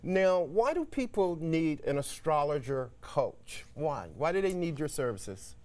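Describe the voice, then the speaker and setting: Male call-in show host, showman-like and emphatic, crisp higher-pitched voice. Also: big pitch swings, a little slow, darkish tone. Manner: showman-like, emphatic announcing with repeated phrases. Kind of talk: live call-in show presenting and interviewing.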